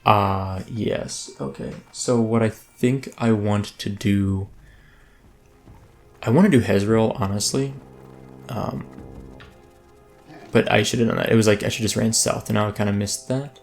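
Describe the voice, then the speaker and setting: A man's voice: on the low side, a little slow, gravelly, pauses often, a little mellow, soft background music. Man rambling through gameplay commentary. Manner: rambling, self-interrupting, chatty. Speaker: let's play host.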